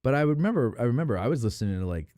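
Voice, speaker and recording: Guy in his 40s, lowish mellow voice that swings around a lot, rambly opinionated podcast chat. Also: very animated, rapid-fire, no room sound, clean studio sound. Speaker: podcast host. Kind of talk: casual chat.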